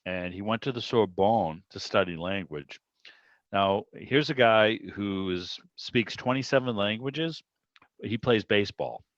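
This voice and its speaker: A man's voice: on the low side, unhurried. A man chatting casually in a rambling, anecdotal way on a video call. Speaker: radio show producer and interviewer. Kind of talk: casual hobby club chat. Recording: dull, roomy video-call audio.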